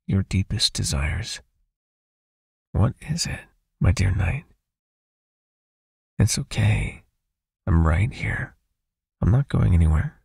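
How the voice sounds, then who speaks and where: A man playing a possessive, soothing vampire captor in an intimate ASMR hypnosis roleplay, speaking slowly and breathily, coaxing and gently commanding. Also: dry recording, studio quality, heavily acted. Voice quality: husky, breathy, low, often near a whisper.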